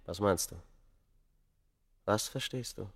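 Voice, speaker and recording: A man's voice: fairly low pitch, slow pace, vocal fry, slightly rough, exaggerated voice. A man playing a guarded, tense scholar in an ancient Egyptian radio drama, evasive and hesitant, with formal diction. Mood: bitter, defensive. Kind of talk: radio play acting.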